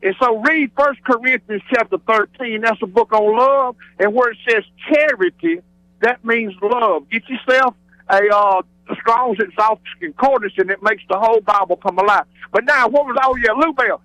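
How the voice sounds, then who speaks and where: Southern guy on a radio call-in, really high voice, folksy and rambling, dry sound. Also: normal speed, bright, forceful tone, no echo. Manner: exuberant, digressive, folksy showman. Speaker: regular radio phone-in caller. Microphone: telephone. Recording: radio broadcast phone call.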